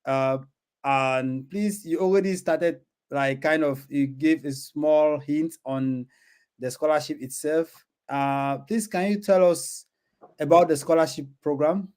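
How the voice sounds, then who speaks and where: A man's voice: on the high side, slow pace, darkish tone, clean tone. A man hosting his own channel, hesitant and filler-heavy as he introduces an online interview. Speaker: channel host.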